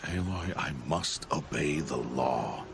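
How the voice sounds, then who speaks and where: A man playing a stern, solemn middle-aged outcast hunter and foster father in a video game, speaking in a grave, measured, formal way. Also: a bass voice, creaky, noisy background, heavily acted. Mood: grave, reluctant. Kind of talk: video game cutscene voice acting.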